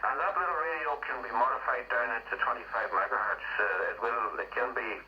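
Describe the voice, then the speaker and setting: A man's voice: mid-range voice, steady tone, fairly quick. A middle-aged man with an Irish accent, an amateur radio operator chatting casually in radio jargon, heard over a two-way radio. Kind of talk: casual radio contact chat. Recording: dull radio link, faint noise.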